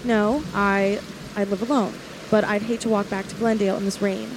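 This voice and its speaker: A woman's voice: mid-range voice, flat delivery, brisk, background noise, close to an everyday voice. A woman playing a young, lonely drive-in waitress in a 1940s-style radio play, confiding and wary. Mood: polite, uneasy. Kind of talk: 1940s radio play acting, first-person narration.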